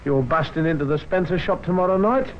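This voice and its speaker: An actor playing a menacing, commanding London gang boss in a 1950s radio drama. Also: male voice, fairly high pitch, fairly quick, natural voice. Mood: cool menace. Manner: commanding, coolly threatening. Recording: dull, noisy old radio recording.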